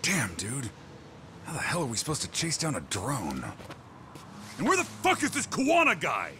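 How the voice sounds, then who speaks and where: An actor playing a crude, hot-tempered low-rank gang thug in a dubbed video game, profane and sneering, with a gravelly voice. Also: male voice, wildly swooping pitch, normal speed, noisy background. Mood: fed up, then agitated. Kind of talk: English dub video game cutscene acting.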